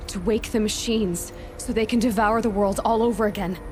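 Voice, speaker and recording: A woman's voice: medium pitch, fairly even intonation, moderate pace, close to an everyday voice. An actress playing a determined, sceptical young female hunter in a video game, questioning in a guarded, pointed way. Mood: tense realisation. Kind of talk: dramatic video game acting. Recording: noticeable background noise and ambience.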